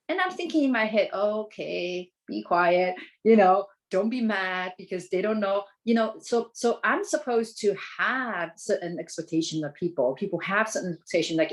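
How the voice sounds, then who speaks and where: A woman from Taiwan with a Taiwanese accent, speaking as an advocate in an informal presentation, haltingly, often rephrasing as she goes. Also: on the low side, poor audio. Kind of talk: conversational presentation.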